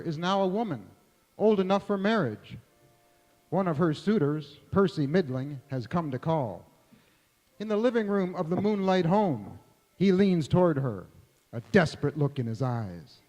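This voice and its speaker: A man's voice: on the high side, darkish tone, close to an everyday voice. Man acting a formal old-time radio narrator. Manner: formal, storytelling. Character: formal male radio theatre host and narrator. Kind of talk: scripted 1940s radio narration. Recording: echoey hall, long reverb.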